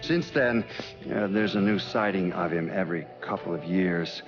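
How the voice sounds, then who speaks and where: An actor playing a weary, dogged older FBI agent in a video game, recounting events dryly and deliberately as if interviewed for a documentary. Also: male voice, low-pitched, close to an everyday voice. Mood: reflective. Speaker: federal agent. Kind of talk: documentary interview monologue, acted. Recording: dull muffled sound under loud music. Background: instrumental music.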